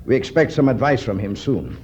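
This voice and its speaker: An actor playing a learned middle-aged archaeologist and protective father in 1950s radio style, formal and deliberate. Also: medium pitch, sing-song, a little mellow, slightly rough. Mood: genial, explanatory. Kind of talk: 1950s radio adventure acting. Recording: dull, muffled 1950s radio recording.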